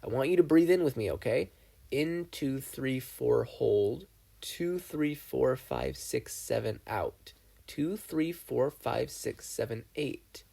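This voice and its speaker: A man voicing an anxious, earnest young teenage boy in a read-aloud story, with a gravelly, gentle coaching manner. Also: mid-range voice, big pitch swings, full character voice. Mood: soothing. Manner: gentle, coaching, talks fast.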